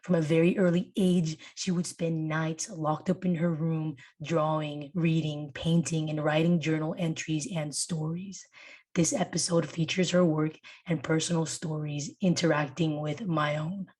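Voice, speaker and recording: Lower-voiced Venezuelan woman podcast host. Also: measured pace. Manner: animated, rising pitch when excited. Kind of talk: scripted narration and interview.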